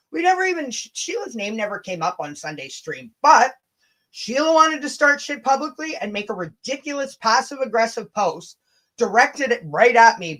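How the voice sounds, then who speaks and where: A woman's voice: medium pitch, fairly bright tone. A woman hosting a casual livestream rant, blunt, combative and sweary, with chatty asides to her viewers. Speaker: livestream host.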